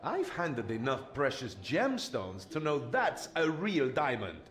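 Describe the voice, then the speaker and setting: Cheeky rogue, lively and animated. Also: male voice, medium pitch, a little slow. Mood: wry. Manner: casual, wry, breezy. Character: roguish, easygoing young male thief adventurer, cheeky. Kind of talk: video game voice acting. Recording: roomy echo with noticeable background noise.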